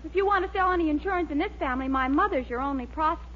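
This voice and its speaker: Sweet, very high-pitched young American actress as a girlfriend. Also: rapid-fire, natural voice. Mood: coaxing, practical. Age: young adult. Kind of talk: 1940s radio sitcom acting. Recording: dull old radio recording, faint hum.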